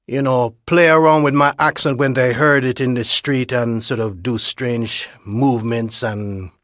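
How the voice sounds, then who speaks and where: A man recalling his own experience in a halting way, repeating words, growing animated as he describes things. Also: mid-range voice, no echo, clean studio sound. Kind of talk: unscripted personal account.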